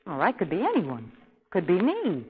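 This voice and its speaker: A woman's voice: lowish voice, huge pitch swings, moderate pace. A woman with an American accent acting a plucky, wry young lady sidekick in 1930s radio drama style. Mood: curious, wry. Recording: muffled old 1930s radio recording.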